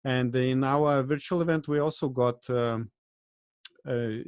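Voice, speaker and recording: A man from Lithuania with a Lithuanian accent, speaking in a video-call interview, halting and full of "uh" fillers, with small grammar slips. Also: medium pitch, fairly even intonation, clear, resonant tone, no room sound. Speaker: guest, event organizer. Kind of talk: casual interview chat.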